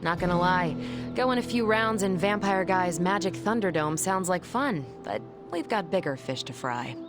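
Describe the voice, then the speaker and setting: A woman voices a confident, cocky female superhero in a video game. Her voice sits fairly low and she talks in a breezy, wisecracking way. Music runs clearly underneath. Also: expressive, normal speed, close to an everyday voice. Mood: breezy, joking. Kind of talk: video game cutscene dialogue.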